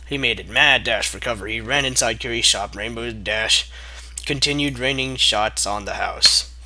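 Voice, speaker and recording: A man's voice: medium pitch, moderate pace, sharp tone. A man reading a fan story aloud, breaking off into mocking asides and bursts of laughter. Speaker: reader. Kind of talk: comedic fanfic reading.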